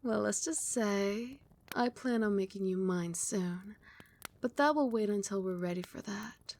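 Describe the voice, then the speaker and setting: ASMR casting: a young woman as a tender, possessive vampire queen, soft soothing whisper, close mic. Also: mid-range voice, measured pace, natural voice. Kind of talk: ASMR roleplay.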